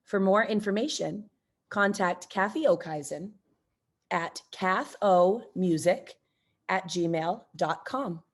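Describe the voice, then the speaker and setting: A woman's voice: mid-range voice, slow. A woman reading scripted church announcements.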